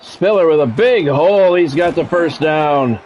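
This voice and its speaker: A man's voice: a little high, measured pace, a little mellow, highs cut off, a little hiss or hum. A man doing radio-style play-by-play of a football video game on a livestream, with swearing and greetings to viewers.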